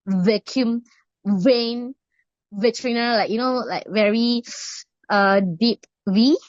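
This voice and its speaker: A woman's voice: fairly high pitch, slow, no room sound. A middle-aged Indonesian woman with an Indonesian accent, answering interview questions hesitantly with many fillers and restarts. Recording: muffled dry recording.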